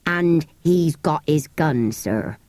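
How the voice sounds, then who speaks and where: A woman's voice: low voice, animated, slow pace, darkish tone, no room sound, voice pushed down. A woman voicing a wary, dutiful male country servant in an audiobook, firm and rustic in speech. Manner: firm, countrified turns of phrase. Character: wary rustic English manservant, dutiful adult man.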